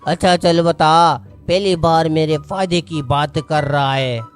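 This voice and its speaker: A casual, teasing cartoon sidekick played by a man. His voice is smooth and slightly high for a male, with music behind it. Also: fairly even intonation, natural voice. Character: gruffly teasing male buddy, accomplice. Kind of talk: cartoon story voice acting.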